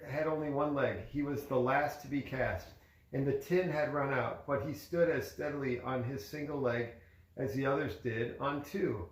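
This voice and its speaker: A man reading a children's story aloud in a conversational way, with casual asides to a child as a parent would. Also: a little high. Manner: conversational, pointing out pictures to a child. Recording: echoey room.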